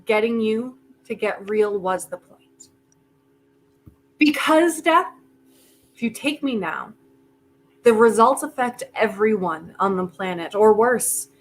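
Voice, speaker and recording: Woman as slow, insistent, defiant inventor. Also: medium pitch, slight background noise, lightly acted. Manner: insistent, dramatic pauses, emphatic repetition. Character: defiant, grieving inventor bargaining stubbornly with Death. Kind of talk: staged reading of a stage play.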